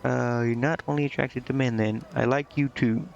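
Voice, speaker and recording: A man's voice: medium pitch, steady tone, moderate pace, vocal fry, muffled recording. A man doing casual game-stream commentary, reading bits aloud and muttering to himself in a hesitant, rambling way.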